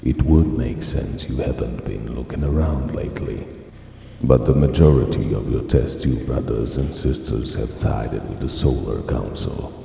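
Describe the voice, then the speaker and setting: An actor playing a gruff, menacing military man full of contempt in a sci-fi audio drama, with a deep gravelly voice. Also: measured pace, mellow, a little hiss or hum, full character voice. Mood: sneering contempt. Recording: distant, echoey hall-like reverb.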